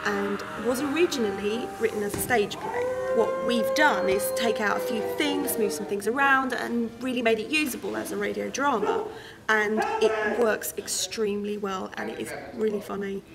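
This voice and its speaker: A woman's voice: mid-range voice, vocal fry. Woman explaining a show over background music. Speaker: member of a theatre creative team. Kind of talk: explanatory documentary interview. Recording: music playing behind the voice.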